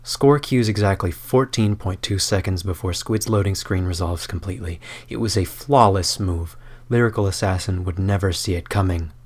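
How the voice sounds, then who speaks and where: Lower-voiced man reading a dramatic story. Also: normal speed, husky. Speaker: author reading own fan fiction.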